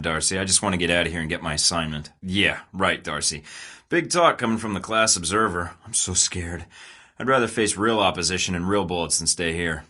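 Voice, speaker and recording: A man's voice: low-pitched, bright, forceful tone, dry recording. An actor playing a young male field agent with a dry, sarcastic manner, as a video game voice. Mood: mocking. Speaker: game protagonist, spy.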